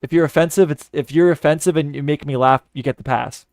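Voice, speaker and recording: A man's voice: a little high, steady tone, fast talker, darkish tone, no room sound, studio quality. A man giving mocking, profane commentary in a sarcastic, scornful way. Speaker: commentary host.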